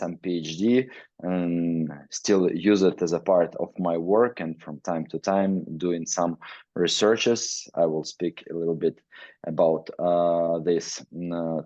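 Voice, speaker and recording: A man from Ukraine giving a webinar talk in halting English, with frequent fillers. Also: sits low, dark tone, dry recording, muffled recording. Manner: halting non-native English, many fillers. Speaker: guest presenter, weightlifting researcher. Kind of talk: webinar presentation. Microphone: video call.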